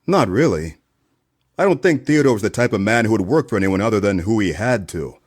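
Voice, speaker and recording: A man's voice: fairly low pitch, animated, fairly quick. A man playing a wry, cynical hard-boiled detective in a noir parody radio play, dry and sardonic.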